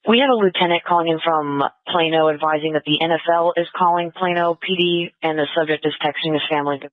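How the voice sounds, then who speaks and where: A man's voice: fairly high pitch, fairly even intonation, quick pace, no echo. A man relaying information as a dispatcher over police radio. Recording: tinny, narrowband police radio audio.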